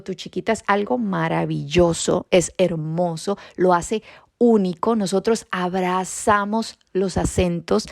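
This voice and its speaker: Costa Rican woman, gravelly dry podcast voice. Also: medium pitch, no echo. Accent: Costa Rican Spanish. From Costa Rica. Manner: warm reassuring, often checks in with a rising "¿sí?". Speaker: podcast host and bilingualism educator. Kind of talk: informal educational podcast talk.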